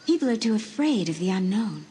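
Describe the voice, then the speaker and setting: A woman voicing a teenage girl's ghost in a mirror, soft, hushed and gently pleading. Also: medium pitch, big pitch swings, a little fast, slight background noise, natural voice. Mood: coaxing. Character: faint ghostly teenage girl spirit in a mirror.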